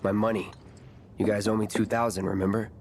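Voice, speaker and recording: An actor playing an aloof young mercenary in a video game, speaking in a cool, clipped, deadpan manner. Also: male voice, lowish voice, fairly quick, slight background noise, natural voice. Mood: businesslike. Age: young adult. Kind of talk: video game cutscene voice acting. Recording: background music under dialogue. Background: game music.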